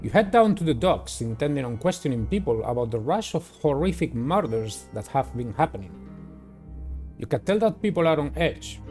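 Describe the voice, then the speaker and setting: A man's voice: on the high side, darkish tone. A man in his thirties or forties hosting a video game playthrough, giving commentary and reading text aloud. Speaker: gaming channel host.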